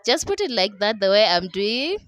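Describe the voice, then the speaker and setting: A woman's voice: mid-range voice, sing-song, normal speed, a bit bright, a little hiss or hum. A young Kenyan woman whose first language is Dholuo, talking casually with a Kenyan accent as she narrates a cooking vlog over her own footage and keeps checking in with "okay?". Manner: chatty, laughing, keeps asking "okay?". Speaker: cooking vlogger. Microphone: voice-over mic.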